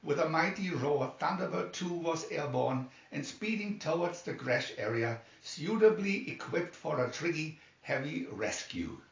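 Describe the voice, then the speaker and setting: Male story reader, slightly high-pitched, roomy and distant recording. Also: expressive, unhurried, vocal fry, few pauses. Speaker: amateur reader of a children's story booklet. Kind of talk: scripted story narration, read aloud. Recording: dull, roomy, distant microphone.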